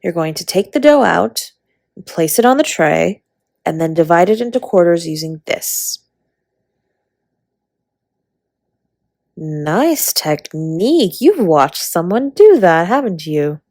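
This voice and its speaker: Husky, slow, flirty dragon baker spouse, played by a woman. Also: lowish voice, sing-song, a little mellow, no echo, clean studio sound, full character voice. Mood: playful, instructive. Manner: breathy, teasing, drawn-out, often dropping to a whisper. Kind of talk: intimate romantic audio roleplay addressed to listener.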